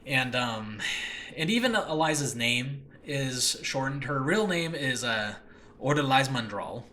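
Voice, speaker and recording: A man's voice: medium pitch, lively intonation, unhurried, pushed and bright. A man hosting a casual, unscripted podcast about his own audio drama, talking in a rambling, earnest, self-deprecating way. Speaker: host and audio drama creator. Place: makeshift room in a new home. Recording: noisy makeshift home recording.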